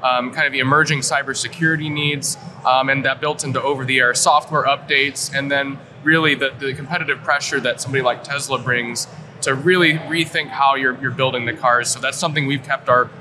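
A man's voice: medium pitch, steady tone, brisk. A man from rural Kansas talking conversationally as an analyst guest in an interview at a trade show. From rural Kansas, United States. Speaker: guest, industry analyst and director. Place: live at an automotive trade show. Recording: noisy trade show floor. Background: clear ambient noise.

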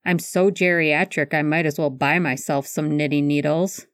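Woman voicing a low, dry, anxious man. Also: steady tone, normal speed, no room sound, lightly acted. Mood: grumbling. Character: anxious self-deprecating young man, wry and fussy. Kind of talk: audiobook fan fiction character dialogue.

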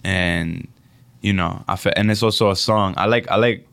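A young man from the Dominican Republic, a rapper being interviewed, speaking reflectively and haltingly with many fillers. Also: low voice, fairly even intonation, vocal fry, fairly bright tone, no room sound. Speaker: rapper guest. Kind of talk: casual interview.

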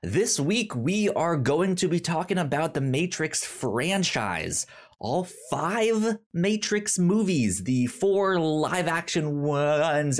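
A man in his thirties hosting a podcast in casual chat, halting, with many repeated starts and stammers. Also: fairly high pitch. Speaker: podcast host.

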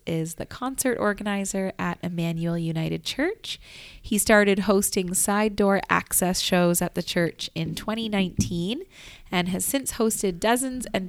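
A woman's voice: mid-range voice, no echo. A woman hosting a casual live radio interview in a conversational, upbeat way. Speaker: radio show host. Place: church, live broadcast.

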